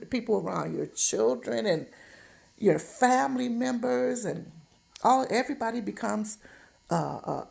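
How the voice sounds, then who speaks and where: A woman's voice: on the high side, creaky, darkish tone. A woman giving an informal relationship advice monologue, speaking emphatically and bluntly, admonishing and repeating phrases for stress. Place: at home.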